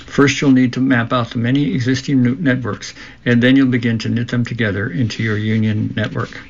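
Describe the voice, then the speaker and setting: Male radio presenter, creaky mid-range voice, measured scripted read with small stumbles, slightly muffled sound. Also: medium pitch, steady tone. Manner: measured read-aloud delivery with occasional stumbles. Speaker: radio host reading a book aloud. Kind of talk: scripted reading for a labor radio show.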